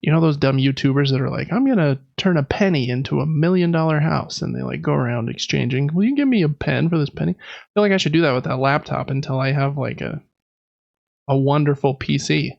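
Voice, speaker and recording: A man's voice: a little high, brisk, creaky, dry recording, muffled recording. An American man in his thirties chatting casually as a podcast co-host, rambling along with self-deprecating humor. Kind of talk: casual chat.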